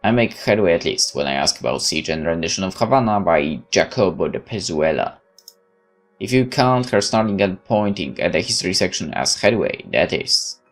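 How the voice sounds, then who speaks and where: Low gravelly man reading a game aloud. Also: lively intonation, normal speed, faint music behind. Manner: informal, self-interrupting, muttering asides. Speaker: let's play host. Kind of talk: reading a visual novel aloud with casual reactions.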